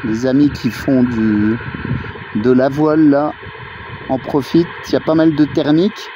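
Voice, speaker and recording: A man's voice: mid-range voice, steady tone, a little slow. A man giving a casual, hesitant running commentary outdoors as an amateur radio operator. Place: outdoors on a mountain viewpoint. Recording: noisy outdoor recording with radio sounds.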